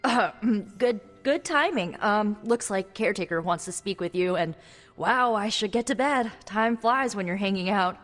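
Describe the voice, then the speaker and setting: Awkward young sorceress in a reverberant hall actress. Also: female voice, medium pitch, lively intonation, fairly bright tone, faint music behind, natural voice. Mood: flustered. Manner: casual, halting when flustered. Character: awkward, chatty young woman sorceress, friendly and flustered. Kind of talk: video game cutscene acting.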